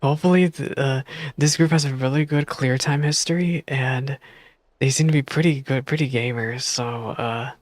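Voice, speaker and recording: A woman streamer chatting casually while reacting to a game, halting and repeating phrases as she talks. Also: rumbling low, fairly even intonation, darkish tone, no room sound. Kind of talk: casual live reaction chat.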